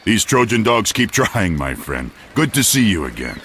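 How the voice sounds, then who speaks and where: Gruff, low-voiced warrior. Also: male voice, big pitch swings, moderate pace, fairly bright tone, slight background noise. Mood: friendly. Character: gruff, friendly battle-hardened Greek warrior. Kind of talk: video game cutscene acting.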